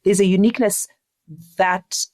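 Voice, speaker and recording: A woman from Zimbabwe, speaking earnestly and deliberately as a reflective interview guest. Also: medium pitch, steady tone, a little mellow, no echo, studio quality. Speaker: interview guest, leadership coach.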